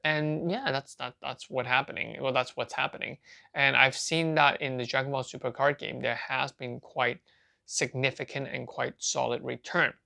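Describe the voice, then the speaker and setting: Card-channel host, male, raised in Canada and Hong Kong: a halting, repetitive casual talker with a bit of fry. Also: medium pitch, flat delivery, sharp tone. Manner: rambling, halting, many restarts and fillers. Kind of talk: casual talk to camera.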